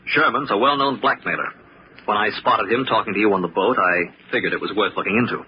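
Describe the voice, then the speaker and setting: Guy playing tough private eye, low voice, firm slangy talk, old radio show with lots of noise. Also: fast, close to an everyday voice. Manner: firm, plain-spoken, slangy. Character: tough, reassuring male private detective. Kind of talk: old-time radio drama acting. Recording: dull, noisy old radio recording.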